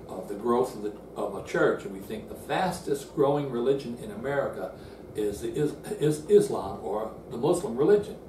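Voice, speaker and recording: Older man, Bible teacher, earnest, explanatory, room echo, audible noise. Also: mid-range voice. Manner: earnest and explanatory, with frequent restarts and reading of quoted verses. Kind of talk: seated Bible lesson to camera. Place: indoor room in front of a screen. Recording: roomy, distant, noisy room sound.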